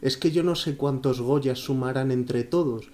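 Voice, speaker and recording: Mellow-voiced Spanish man in casual film chat. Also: medium pitch, unhurried. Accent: Spain Spanish. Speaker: film podcast co-host.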